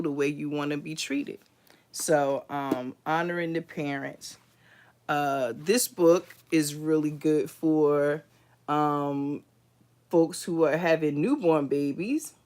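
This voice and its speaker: Slow, smooth-voiced woman host. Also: low voice. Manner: unhurried, thoughtful, many fillers and restarts. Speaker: host of a book review livestream. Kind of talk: casual livestream book talk.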